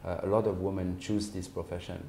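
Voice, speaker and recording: A man in his forties from France with a French accent, giving measured, hesitant interview answers as a company managing partner. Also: low-pitched, level tone, vocal fry, dark tone. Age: 40s. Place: office, indoors. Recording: roomy echo, faint background noise.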